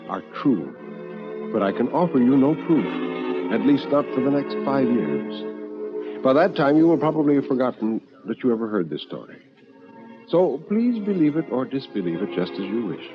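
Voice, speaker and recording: A man playing a meek, self-deprecating bachelor, telling his story as measured, wistful first-person radio narration. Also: mid-range voice, sing-song, muffled recording, lightly acted. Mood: resigned, wistful. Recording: 1950s radio recording with music underneath.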